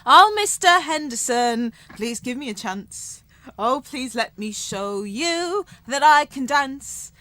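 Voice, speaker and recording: Woman playing a starry-eyed check-in girl, highish voice. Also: animated, slow, sharp tone, no room sound, exaggerated voice. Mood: pleading. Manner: eager, self-assured, theatrical. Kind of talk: radio comedy acting.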